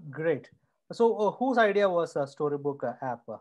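A man's voice: fairly high pitch, expressive, normal speed, darkish tone. A man in his thirties hosting an interview over a video call with an earphone mic, hesitant and full of "uh" fillers. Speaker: host. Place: home room indoors.

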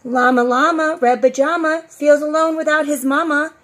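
A middle-aged woman, a preschool teacher, reading a storybook aloud to young children in an expressive, sing-song way with drawn-out phrase endings. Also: high voice, a little hiss or hum. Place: outdoors on a covered porch.

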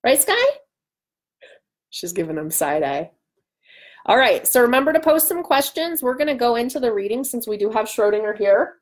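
A woman's voice: a little high, animated, normal speed, long pauses. A woman in her thirties chatting casually and playfully as she hosts a livestream from home on a webcam. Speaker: author hosting a livestream. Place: small room at home, indoors. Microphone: webcam at arm's length.

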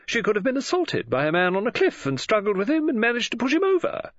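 A male narrator plays a fussy, imaginative middle-aged woman in an audiobook. The voice leaps high and swings wildly up and down as it gushes and exclaims. The recording is a little dull. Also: huge pitch swings, moderate pace, breathy edge, no room sound, heavily acted. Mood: excited, speculating. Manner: gushing, exclamatory, swooping emphasis.

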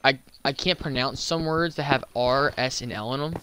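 A man's voice: mid-range voice, normal speed, noisy background. A young man streaming a game and chatting casually, stumbling over words, slurring a little, with a slight lisp. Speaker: gaming YouTuber and streamer. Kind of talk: casual gameplay chat.

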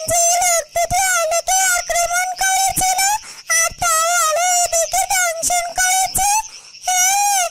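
A woman voicing a small, excitable talking yellow bird with a squeaky cartoon voice, exclaiming and questioning. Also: rough recording.

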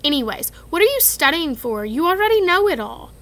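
A cheeky schoolboy grumbles and brags in quick lines, as a woman reading a storybook puts him on. The voice stays high and bright, close to the reader's own. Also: big pitch swings, full character voice. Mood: grumbling. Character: lazy, cheeky schoolboy wizard.

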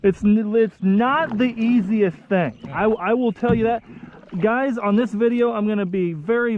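A young American man chatting casually to viewers in a folksy, excitable way while out on the water. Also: high-pitched. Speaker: vlog host. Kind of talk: casual outdoor vlog chat. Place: outdoors on a kayak under a bridge. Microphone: action camera. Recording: muffled action camera audio with outdoor noise.

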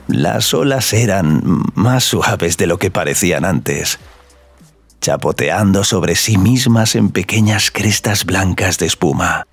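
A man narrating scripted audio fiction in a slow, sensual storytelling manner. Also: sits low, animated, vocal fry, breathy edge, soft background music.

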